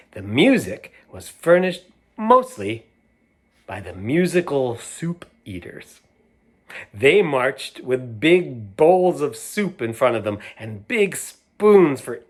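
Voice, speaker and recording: A man reading a picture book aloud to children, stopping to talk about the pictures and ask his young listeners questions in a playful, unhurried way. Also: fairly high pitch. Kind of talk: read-aloud storytime with asides about the pictures.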